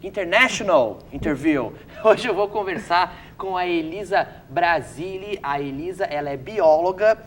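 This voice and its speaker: A woman hosting a relaxed talk-show interview, bantering and laughing with her guest. Her voice is slightly low and moves fast through her questions. A faint rumble hums in the background. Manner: playful, quick, laughing often. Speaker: interview host.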